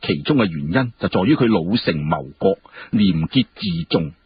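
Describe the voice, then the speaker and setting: A man's voice: lowish voice, husky, dull audio, exaggerated voice. A man playing a commanding, magnanimous emperor in a storytelling performance, with stately, declarative delivery.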